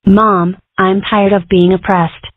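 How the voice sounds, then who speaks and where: A woman's voice: medium pitch, little pitch movement, slow pace, no room sound. A woman plays a mischievous young cartoon schoolgirl with big words and an indignant, theatrical streak. The sound is very dry and thin, squeezed like a phone line. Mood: indignant, wordy. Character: Millie, precocious, argumentative, mischievous young schoolgirl cartoon character. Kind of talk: comic strip dub. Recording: muffled lo-fi phone-like home recording.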